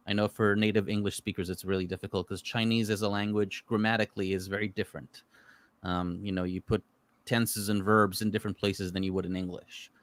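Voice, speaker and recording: A man born in Israel whose first language is Hebrew, chatting casually about martial arts films and telling rambling stories in English. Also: low voice. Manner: relaxed, rambling storyteller with frequent fillers. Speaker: guest. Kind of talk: casual chat.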